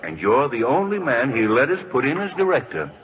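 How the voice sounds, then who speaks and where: Knowing older professor and father, animated pitch, old dull radio sound. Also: brisk. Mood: dry. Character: older, dry, knowing father and college doctor. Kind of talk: 1940s radio acting.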